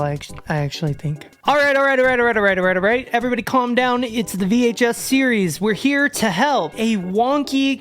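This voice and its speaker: A woman losing her voice, giving casual, joking commentary on horror films and swearing freely. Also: medium pitch. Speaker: horror video essayist.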